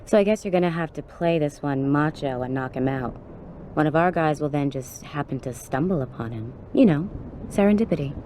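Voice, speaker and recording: Low, dark-voiced streetwise policewoman. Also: lively intonation, a little fast, a little hiss or hum. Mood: brisk, businesslike. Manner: blunt, informal, slangy. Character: tough, streetwise woman police officer briefing an operative. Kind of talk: video game cutscene dialogue acting.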